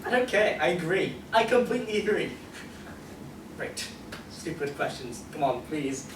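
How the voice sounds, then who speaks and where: A slightly high-pitched man talks casually and jokingly as a panelist. The sound is roomy and distant, as if caught from across a convention room. Background noise is clearly audible around him. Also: expressive, moderate pace. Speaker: convention panelist from an audio drama group.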